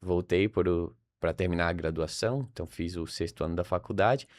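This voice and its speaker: A man's voice: deep voice, a little fast, a little mellow, no room sound, clean studio sound. A young man from Salvador with a Brazilian accent, chatting informally as a podcast guest and thinking aloud with frequent tag questions. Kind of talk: casual interview conversation.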